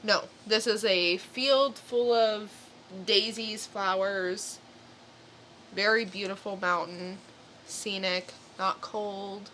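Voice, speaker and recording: A woman's voice: medium pitch, fairly even intonation, slow, bright, forceful tone, slight background noise. A woman co-hosting a podcast, chatting casually as she shares stories. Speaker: podcast co-host. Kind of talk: casual chat, telling news stories.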